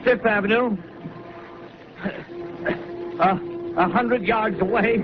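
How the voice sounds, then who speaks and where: Frantic radio announcer, clipped urgent bulletins, 1930s radio drama, heavy hiss, muffled old broadcast. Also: male voice, high voice, slow. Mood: panicked. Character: male radio announcer, frantic as the city falls.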